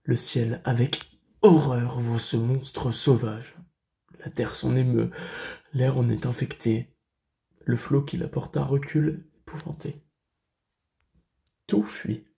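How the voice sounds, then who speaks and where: A male actor plays a solemn, grieving man of classical tragedy, recounting horror in measured verse. The voice is dark and mellow with a heavy gravelly creak. He declaims very slowly, leaving dramatic pauses between phrases. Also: medium pitch, steady tone. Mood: dread, awed. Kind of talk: classical French verse tragedy monologue, self-tape audition.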